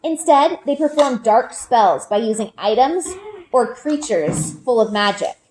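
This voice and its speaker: Woman, highish voice, lively swooping intonation, children's read-aloud narration, expressive storyteller. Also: unhurried, slight background noise, low-quality recording. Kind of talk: expressive children's book narration, first person. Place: group read-aloud with elementary pupils.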